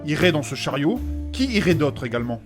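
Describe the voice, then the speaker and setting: A man playing a prim, skinny, courteous gentleman in a comic fantasy audio drama, with formal and fussy speech. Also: fairly high pitch, very animated, brisk. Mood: organising. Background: music.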